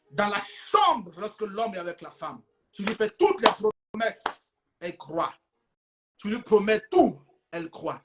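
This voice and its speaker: Man preaching, insistently repeating his phrases. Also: high voice. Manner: playful, acts out couple dialogue. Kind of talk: informal teaching sermon to an online audience.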